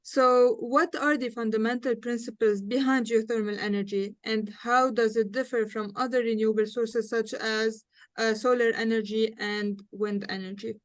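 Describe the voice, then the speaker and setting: Casting: young Lebanese-accented woman to moderate a panel, lightly high pitch, very dry sound. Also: steady tone, normal speed, dry recording. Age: young adult. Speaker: panel moderator and student engineer. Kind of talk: hosting a panel discussion.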